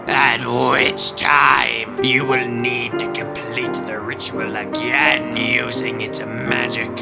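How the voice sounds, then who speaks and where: A man playing a commanding demon in an audio drama, solemn and imperious, with a gravelly voice. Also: medium pitch. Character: commanding male demon granting youth at a price. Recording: voice under prominent background music. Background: music.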